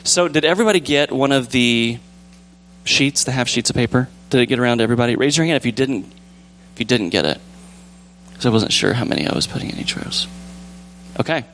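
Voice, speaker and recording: A young man with a calm, relaxed voice leading a casual church discussion, rambling gently with fillers and restarts. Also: medium pitch, quick pace, slight background noise. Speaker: church group leader. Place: church sanctuary with pews.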